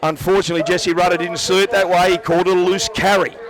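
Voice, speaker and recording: Excitable Australian man calling footy. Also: sits high, fast, a crowd in the background, rough recording. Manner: excitable, repeats himself. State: nearly losing his voice. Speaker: sports commentator. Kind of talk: excited live match commentary.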